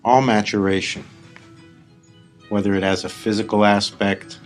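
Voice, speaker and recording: A man leading a live guided meditation as a spiritual teacher, speaking deliberately in short phrases with long pauses. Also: on the low side, level tone, faint music behind.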